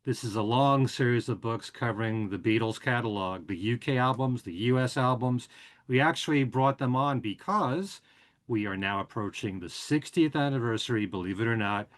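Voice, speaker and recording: A man hosting a podcast, casually running through show news and plugs in a chatty, relaxed way with frequent filler words. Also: mid-range voice, normal speed. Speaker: podcast and radio host.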